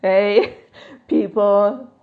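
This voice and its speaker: A woman's voice: mid-range voice, very slow, narrow, tinny audio. A woman with a Polish accent, a life coach answering questions in a live-stream chat, talking loosely with fillers and frequent laughs. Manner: chatty, many fillers, laughs often. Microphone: phone.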